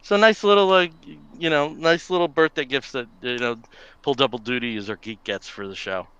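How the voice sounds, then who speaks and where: A man's voice: medium pitch, brisk, pushed and bright, clean tone, dull audio. A middle-aged man hosting a podcast in casual chat, rambling with lots of fillers. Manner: chatty, rambling with many fillers. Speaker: podcast host.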